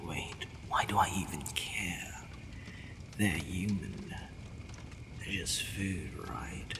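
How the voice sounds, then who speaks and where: A man acting a haughty but softening vampire lord in an ASMR audio roleplay, brooding, formal and given to hesitant sighing. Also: sits low, lively intonation, slow, creaky, full character voice. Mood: brooding, bemused. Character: possessive male vampire lord, haughty yet secretly softening. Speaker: roleplay voice actor. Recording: long reverb, faint background noise.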